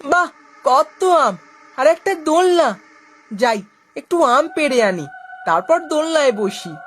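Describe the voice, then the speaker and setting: Woman voicing an eager, high-pitched little boy. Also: big pitch swings, a little slow, a little hiss or hum, poor audio. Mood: delighted. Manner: eager, wide-eyed, childlike. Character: excitable, innocent, curious little village newcomer boy. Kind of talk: children's animated cartoon dialogue.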